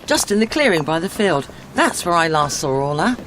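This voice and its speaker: A woman's voice: deep voice, big pitch swings, moderate pace, fairly bright tone, a little hiss or hum. A woman playing a down-to-earth, sarcastic adventurer in an audio drama, dry and questioning. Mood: dry, reluctant.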